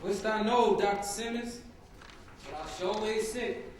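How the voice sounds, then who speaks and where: A stubborn, ailing Southern working man, played by a teenage boy in a stage reading. His voice is high and comes from far off in a very echoey hall. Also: measured pace, a crowd in the background. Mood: dismissive, ailing. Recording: very echoey hall, distant mic, faint noise.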